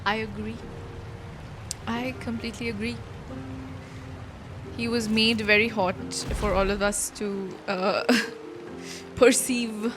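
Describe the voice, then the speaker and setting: A woman's voice: on the high side, little pitch movement, takes it slowly, long pauses. A woman streamer giving casual live reaction commentary on a game, chatty, informal and exclamatory, with game music behind her.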